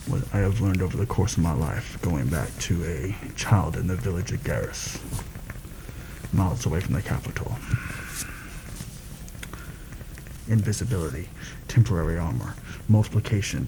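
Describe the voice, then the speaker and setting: Guy doing a low creaky soft spoken assassin for asmr roleplay, wary, seasoned, takes his time, noisy recording. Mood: focused, businesslike. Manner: measured, hushed, deliberate. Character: seasoned male fantasy assassin, wary, proud, quietly thrill-seeking.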